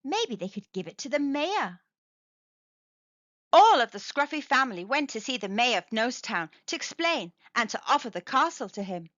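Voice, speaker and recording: A woman gently and expressively reading a children's storybook aloud. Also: fairly high pitch, sing-song, moderate pace, no room sound, lo-fi sound. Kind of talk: children's read-aloud narration.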